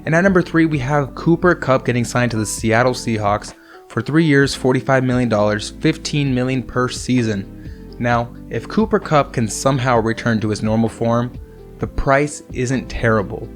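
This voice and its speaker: Hoarse young man giving sports takes. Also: mid-range voice. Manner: casual, opinionated, conversational. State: hoarse from illness. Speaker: host. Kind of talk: sports commentary monologue. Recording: faint music underneath. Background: soft background music.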